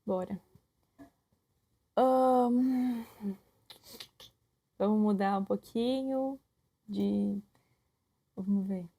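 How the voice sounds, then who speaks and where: Mellow young Brazilian woman storyteller. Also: mid-range voice, very slow, creaky, long pauses. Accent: Brazilian Portuguese. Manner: lively storyteller, finishes her partner's sentences. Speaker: podcast co-host. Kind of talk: casual couple conversation.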